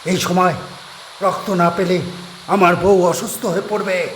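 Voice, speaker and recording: A man's voice: high voice, level tone, measured pace, fairly bright tone, echoey room, a little hiss or hum, pitched above the performer's own voice. A man voicing a desperate middle-aged guest house keeper who guards his vampire wife, in a strained, pleading voice.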